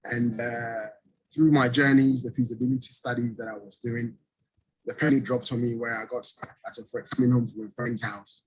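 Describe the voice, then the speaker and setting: A middle-aged man from Ghana, a business owner talking casually in an interview over a video call, relaxed, often chuckling and restarting. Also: mid-range voice, moderate pace, husky, rough recording.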